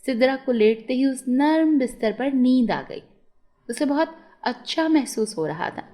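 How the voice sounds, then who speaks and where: High-pitched woman storyteller. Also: animated, a little slow, warm tone. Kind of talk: scripted children's moral story narration.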